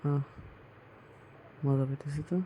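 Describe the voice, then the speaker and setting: A man's voice: medium pitch, completely flat delivery, unhurried, dark tone, slight background noise, lightly acted. A young man playing a pestering, pleading younger brother-in-law in an amateur skit, with a rural Bangladeshi Bengali accent. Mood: coy. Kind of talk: amateur skit dialogue, colloquial.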